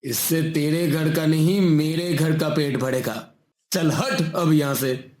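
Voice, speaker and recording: Man playing a slow, echoey bullying wrestler. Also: fairly high pitch, fairly even intonation, a little mellow. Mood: mocking, domineering. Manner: slow, taunting, domineering. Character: greedy bullying wrestler, mean to a poor fisherman. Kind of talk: cartoon moral story voice acting. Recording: roomy echo on the voice.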